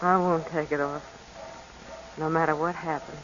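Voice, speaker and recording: A woman's voice: deep voice, fairly even intonation, moderate pace, very noisy, close to an everyday voice. An actress playing an affectionate, spirited young American woman in love, in the style of 1940s radio drama. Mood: tender. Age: young adult. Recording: dull old radio recording with music behind.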